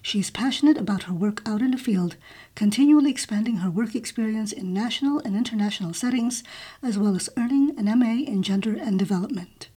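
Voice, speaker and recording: A woman's voice: a little high, fairly quick, warm tone. A woman hosting a podcast, reading a guest introduction and then asking questions. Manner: reads the intro smoothly, then asks questions with ums. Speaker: podcast host.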